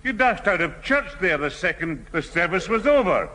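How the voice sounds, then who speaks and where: An actor playing a stern, suspicious old grandfather in an old radio sitcom, reproving and sharp. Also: high voice, big pitch swings, normal speed, full character voice. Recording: reverberant 1970 radio recording.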